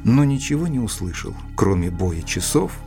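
A man's voice: on the low side, very animated, normal speed, mellow. A man narrating an audio drama in the first person, reading scripted literary prose. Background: faint music.

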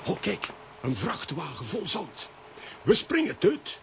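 Bumbling good-natured man, high voice, very animated, exclamatory, children's radio play. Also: slow pace, gravelly, a little hiss or hum, exaggerated voice. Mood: cheerful. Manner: exclamatory, theatrical. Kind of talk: children's comic-strip radio play acting.

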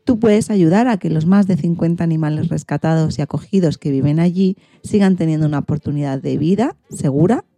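A woman's voice: low voice, brisk, hardly any pauses, warm tone, no room sound. A woman with a Spain Spanish accent, presenting a scripted magazine radio show as its host.

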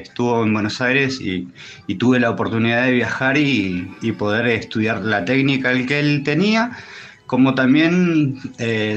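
A man with an Argentine Spanish accent answering interview questions in a thoughtful, hesitant way with many pauses. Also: medium pitch, highs cut off. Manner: hesitant, thoughtful, pausing often. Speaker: interview guest, tattoo artist.

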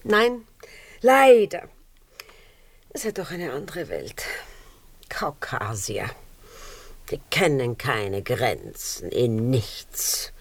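A woman's voice: extremely low pitch, sing-song, very slow, pauses often, full character voice. A woman acting a worldly, flighty, self-dramatising baroness with a Viennese accent in a radio play, speaking in an affected, theatrical way. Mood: world-weary. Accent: Viennese Austrian. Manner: affected, theatrical, sprinkles in French phrases.

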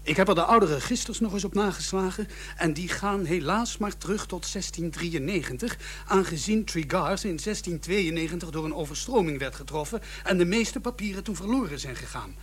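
Stern village clergyman, rather high voice, pompous, formal, firm, short-tempered, radio play, faint hum. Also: lively intonation, brisk. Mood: self-assured. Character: stern, self-assured village vicar with short patience. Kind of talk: radio drama acting.

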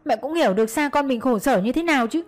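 A woman's voice: high-pitched, lively intonation, fast talker, pitched above the performer's own voice. Woman acting a tearful, imploring young mother. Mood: desperate pleading. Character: desperate young mother pleading tearfully with her mother-in-law.

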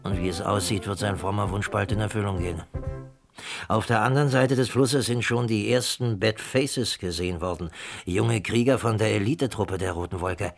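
An actor playing a wry, chatty old prairie scout with a husky voice and folksy teasing in a German radio play. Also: male voice, fairly low pitch, normal speed, faint music behind, natural voice.

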